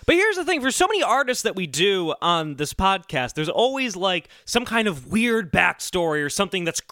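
A man's voice: sits high, very animated, normal speed, sharp tone, dry recording. A man co-hosting a comedy podcast in a casual, earnest, rambling and somewhat defensive way. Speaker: podcast co-host.

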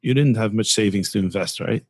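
Low-voiced male podcast host. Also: fairly quick, husky. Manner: works through numbers aloud, restarts phrases. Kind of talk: casual interview.

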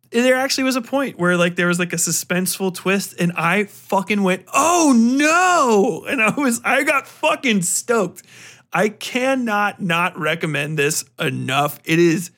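A man talking casually as a podcast host reviewing books, slangy and full of swearing, animated and given to acting out mock exchanges. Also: high-pitched. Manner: profane, slangy, informal. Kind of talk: casual rambling book review talk.

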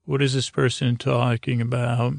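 Rambling man with a creaky voice. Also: medium pitch, little pitch movement, moderate pace, no echo, studio quality. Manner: meandering, self-deprecating, whimsical, often trailing off and circling back. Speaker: host of a sleep podcast. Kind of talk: rambling, soothing bedtime monologue.

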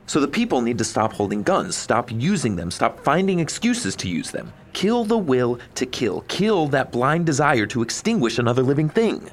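A man's voice: medium pitch, very animated, moderate pace, hardly any pauses. A man playing a teasing, determined assassin who wants to go straight, coaxing and emphatic, in an audio drama. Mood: resolute.

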